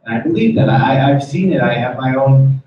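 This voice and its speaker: A man's voice: mid-range voice, brisk. American guy doing a motivational company talk, super echoey, mic kinda far away and muffled. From United States. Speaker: corporate executive, guest speaker. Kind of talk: informal motivational product talk. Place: meeting room, speaking before small audience.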